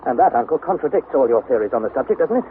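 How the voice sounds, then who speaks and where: A man's voice: medium pitch, sing-song, rapid-fire, narrow, tinny audio, close to an everyday voice. An actor playing an earnest, anxious young nephew, precise and dramatic as he narrates and acts in an old radio adventure. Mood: argumentative. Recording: thin, noisy vintage radio recording.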